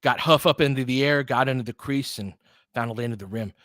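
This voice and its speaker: A man's voice: mid-range voice, brisk, a bit bright, dry recording, studio quality. A man doing basketball play-by-play commentary in clipped phrases, with informal asides and casual analysis.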